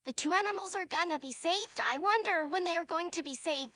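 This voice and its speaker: A woman's voice: very high voice, fairly quick, bright, forceful tone, no room sound, studio quality. A woman voicing a ditzy, jumpy teen cartoon girl who exclaims at every scare. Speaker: reactor to a video.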